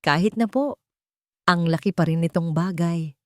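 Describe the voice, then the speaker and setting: A woman's voice: lowish voice, moderate pace, a little mellow, no room sound, clean studio sound, close to an everyday voice. A woman playing a humble, grateful young maid who is shy and polite, in a narrated love story. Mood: grateful, earnest.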